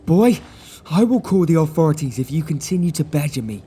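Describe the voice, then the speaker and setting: Man putting on a shrill, huffy London merchant. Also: expressive, brisk, warm tone, slightly rough. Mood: threatening. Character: pompous London merchant, older man, nervous and irritable.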